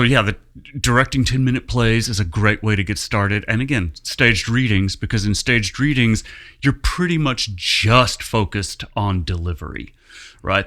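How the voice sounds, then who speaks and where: Man, lowish pitch, bright forceful tone, self-deprecating, casual interview guest. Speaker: community theatre director, guest.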